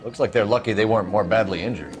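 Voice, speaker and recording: A man's voice: on the low side, fast talker, slight background noise, natural voice. A man voicing a serious, formal 1940s police detective who questions witnesses in clipped, proper phrasing. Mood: stern. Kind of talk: video game cutscene acting.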